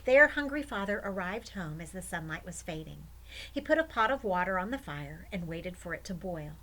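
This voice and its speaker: A woman's voice: fairly low pitch, animated, moderate pace, pushed and bright, low-quality recording. A woman storyteller reading expressively to children, with chatty asides.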